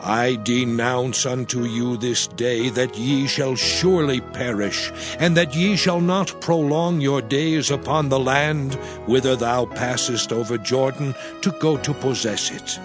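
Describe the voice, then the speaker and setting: An actor playing an aged prophet and leader, solemn and exhorting, in a grave dramatized scripture reading. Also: male voice, mid-range voice, natural voice. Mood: solemn warning. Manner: grave, deliberate, declamatory. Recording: background music under the voice.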